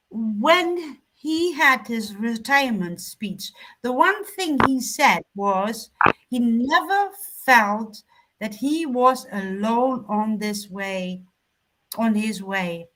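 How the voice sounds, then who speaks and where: A woman whose first language is German, speaking reflectively and off the cuff as a panelist, in a measured, halting way with emphatic pauses. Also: on the high side, fairly bright tone, rough recording. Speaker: panelist on a talk show. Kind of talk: reflective unscripted panel talk.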